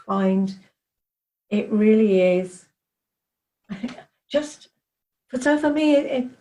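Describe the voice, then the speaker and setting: A woman's voice: fairly high pitch, expressive, extremely slow, mellow. A woman hosting a podcast interview, chatty and encouraging as she introduces and talks with her guest. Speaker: podcast host.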